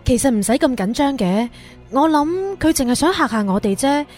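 High-pitched spirited young heroine. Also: female voice, animated, fairly quick, a little mellow, soft background music. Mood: reassuring. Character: sweet, spirited young heroine, easily startled. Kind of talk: Cantonese radio drama acting.

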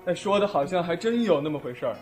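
Teenage schoolboy, slightly high voice, exasperated older brother, dry, audio drama, music behind. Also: male voice, animated, fast, a little mellow. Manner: dry, long-suffering.

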